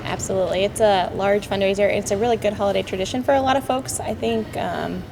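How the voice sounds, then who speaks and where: A woman appearing as a guest on a local TV interview, upbeat and quick to chime in. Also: medium pitch, creaky. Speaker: guest, club member. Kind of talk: casual interview talk. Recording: noisy recording.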